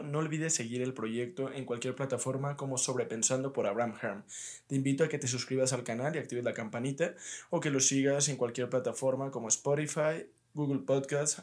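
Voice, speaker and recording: A man reading a scripted promotional announcement for a podcast in an even, announcer-like way. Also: medium pitch.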